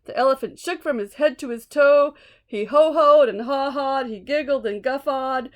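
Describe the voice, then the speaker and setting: A woman's voice: high-pitched, moderate pace. A woman reading a children's storybook aloud expressively, with small asides to listeners. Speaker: storybook reader.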